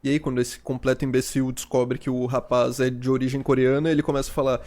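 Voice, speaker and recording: A man's voice: medium pitch, little pitch movement, fast, a little mellow. A young Brazilian man reacting to clips as a streamer, narrating with sarcastic, indignant mockery. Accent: Brazilian Portuguese. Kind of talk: reaction commentary.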